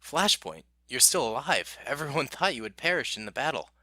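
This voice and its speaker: A man's voice: medium pitch, very animated, brisk, bright, forceful tone, no echo. A man voicing a decisive male clone commander who gives clipped military orders. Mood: relieved.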